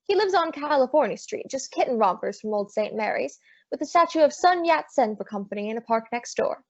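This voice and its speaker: A woman's voice: high voice, lively intonation, fairly quick. A woman playing a breezy, wisecracking private eye, narrating and acting in an old-time radio detective show. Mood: wry narration. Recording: dull lo-fi audio.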